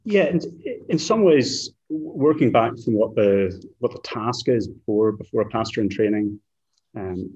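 A man from Ireland, a Hebrew lecturer speaking as a guest, thoughtful and halting with fillers. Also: lowish voice, lively intonation, a little mellow, muffled recording. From Northern Ireland. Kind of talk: casual interview talk.

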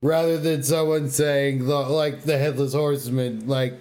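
A man's voice: medium pitch, fairly even intonation, unhurried, darkish tone. A man co-hosting a podcast, talking casually about games in a blunt, emphatic, opinionated way. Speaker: podcast co-host. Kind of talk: casual gaming banter and debate.

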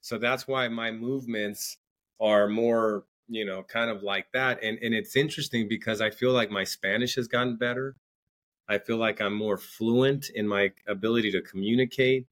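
A man's voice: lowish voice, fairly even intonation, moderate pace, fairly bright tone. A middle-aged man, a guest on an interview podcast, talking earnestly in casual chat and often repeating words as he starts his sentences.

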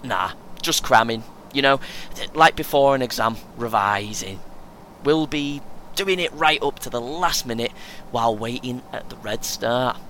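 An actor playing a chatty, self-important young British amateur runner in an audio play, earnest and keen, chuckling often. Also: male voice, measured pace, a bit bright, close to an everyday voice. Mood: eager, preachy. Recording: faint vehicle noise behind. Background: vehicle rumble, coach ambience.

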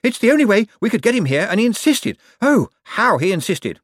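Man doing a high-pitched, breathless, flustered wizard. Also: big pitch swings, a little fast, no room sound, studio quality. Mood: flustered, apologetic. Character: harried, apologetic male wizard, flustered and panting. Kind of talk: audiobook character voice.

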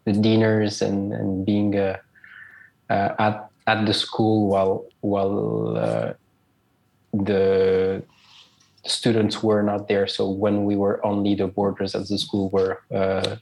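Hesitant low gravelly young man. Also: fairly even intonation, takes it slowly, darkish tone, low-quality recording. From Switzerland. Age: 20s. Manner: hesitant, many fillers and repeated words. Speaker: interview guest, school alumnus. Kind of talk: casual interview answers.

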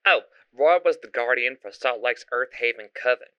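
A man's voice: mid-range voice, moderate pace, fairly bright tone, clean tone, dry recording, dull audio, close to an everyday voice. A man playing a bubbly, folksy front desk clerk at an occult shop in a radio drama. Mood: matter-of-fact.

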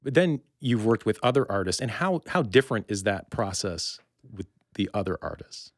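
A man hosting a conversational interview, with halting, reflective and wordy phrasing. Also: lowish voice, big pitch swings, no room sound, clean studio sound. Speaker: interview podcast host.

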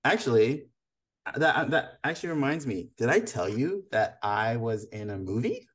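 A man's voice: medium pitch, very animated, normal speed, husky. A man hosting a casual podcast interview, friendly and teasing, rambling with restarts. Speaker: podcast host.

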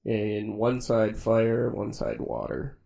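A man's voice: lowish voice, little pitch movement, vocal fry, dark tone. A young man co-hosting a podcast, joking and asking questions with casual swearing. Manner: jokey, quick questions, swears freely. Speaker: podcast co-host. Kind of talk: casual chat.

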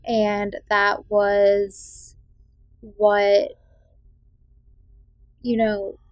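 A woman's voice: medium pitch, completely flat delivery, extremely slow, no echo. A young adult woman from Massachusetts's South Shore with a faint Boston accent, hosting a casual movie review podcast in a chatty, opinionated way with frequent ums. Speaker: podcast host.

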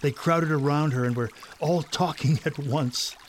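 A man's voice: on the high side, fairly even intonation, unhurried, a little hiss or hum. A man narrating a scripted children's story.

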